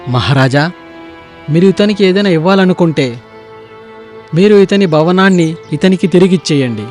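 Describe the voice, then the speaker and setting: A man voicing earnest, respectful figures of court and forest in an animated moral story, with formal, declamatory dialogue. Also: high-pitched, moderate pace, soft background music.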